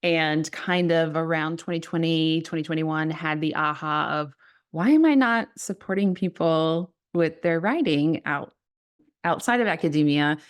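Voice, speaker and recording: A woman with a low voice talks as a guest on an interview podcast. She speaks reflectively about her work as a writing coach and laughs easily. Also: unhurried, smooth. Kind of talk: casual interview talk.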